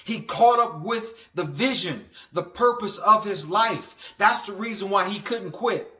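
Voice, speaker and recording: A man preaching a motivational sermon in a halting, stammering way, repeating phrases for emphasis. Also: high-pitched, lively intonation, bright, forceful tone. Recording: echoey, reverberant room sound.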